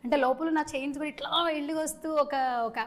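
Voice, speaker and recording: A woman interviewer. She talks fast and chattily, dropping English words into a casual promotional conversation. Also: high-pitched, steady tone. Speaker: interviewer, host. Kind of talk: casual film promotion chat.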